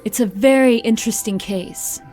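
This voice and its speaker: An actress playing a confident, persuasive paranormal investigator in an audio drama, with polished, theatrical, assured delivery. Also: female voice, a little high, normal speed, a little mellow, soft background music, close to an everyday voice.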